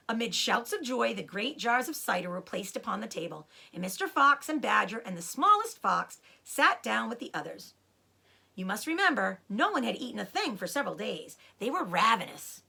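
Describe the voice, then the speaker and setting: A woman reading a children's story aloud as an expressive narrator, with dramatic emphasis. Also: medium pitch, creaky, breathy edge.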